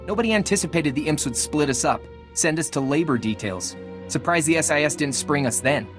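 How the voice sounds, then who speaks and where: An actor playing a dutiful, guarded captured lieutenant in a video game, speaking in an earnest, hesitant military manner. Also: male voice, on the high side, animated, a little fast, music in the background, natural voice.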